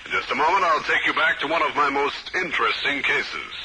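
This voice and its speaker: A man's voice: fairly high pitch, lively intonation, a little fast, close to an everyday voice. A man playing a wry, hard-boiled private detective in a 1950s radio drama. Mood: wry, dramatic. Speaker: lead actor and narrator. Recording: very noisy old 1950s radio recording.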